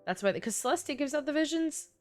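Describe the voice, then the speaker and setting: A woman's voice: high voice, lively intonation, fast talker, soft background music. A woman with a New York accent giving casual livestream game commentary, thinking aloud in loose, chatty phrasing. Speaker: streamer.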